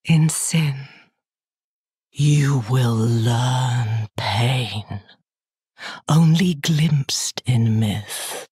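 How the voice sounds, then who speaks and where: Very deep, husky demon queen. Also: no echo, clean studio sound, exaggerated voice. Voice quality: husky, breathy. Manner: slow, hushed, regal. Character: seductive, menacing horned demon queen.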